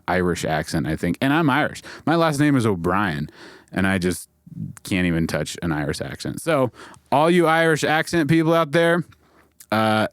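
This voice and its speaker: Lower-voiced man teaching casually, dry sound. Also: very animated, normal speed, no room sound. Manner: informal, checks in with "okay?" and "right?". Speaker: audio mixing instructor and video host. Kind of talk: casual explanatory tutorial.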